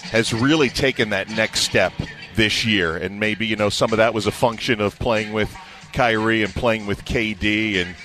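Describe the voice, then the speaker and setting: A man's voice: on the low side, moderate pace, bright, forceful tone. A man working as a play-by-play announcer, giving conversational analysis in a sports radio interview.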